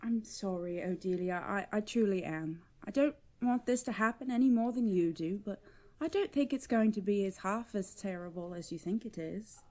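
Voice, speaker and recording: A woman's voice: mid-range voice, normal speed, a little mellow, close to an everyday voice. A woman playing a kindly, proper servant to royalty in a fantasy audio drama, soothing and folksy in manner. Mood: sympathetic.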